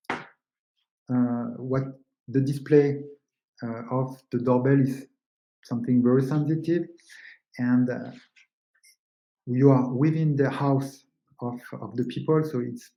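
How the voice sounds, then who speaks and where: A man's voice: medium pitch, warm tone. Man presenting in an echoey room. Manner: explanatory, halting non-native English phrasing. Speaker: webinar presenter, company co-founder. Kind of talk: technical webinar presentation. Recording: roomy, echoing sound.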